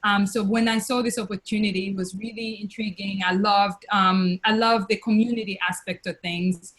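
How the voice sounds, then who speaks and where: A woman's voice: medium pitch, fairly even intonation, fairly bright tone, low-quality recording. Young woman from tanzania, hesitant speech, fillers, video call. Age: young adult. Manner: halting, many fillers and restarts. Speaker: webinar guest, investor. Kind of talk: casual testimonial on a webinar.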